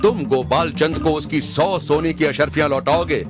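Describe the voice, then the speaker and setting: A man's voice: on the high side, fairly even intonation, moderate pace, natural voice. A man voicing a stately Mughal emperor in a cartoon, regal and commanding, stern but fair. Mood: stern rebuke. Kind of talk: Hindi cartoon voice acting. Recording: music behind the voice.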